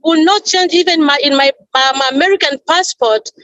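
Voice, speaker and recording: A woman with a high voice speaks at a panel event. She talks earnestly, in halting phrases, often stopping to repeat or rephrase herself. The recording is dry, with almost no sound of the room. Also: fairly bright tone, no echo, muffled recording. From Congo. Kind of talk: earnest speech at an online panel.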